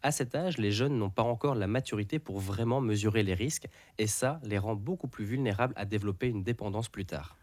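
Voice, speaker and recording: Low-voiced male presenter, dry studio sound. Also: brisk, hardly any pauses, a little mellow, no room sound. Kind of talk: scripted narration.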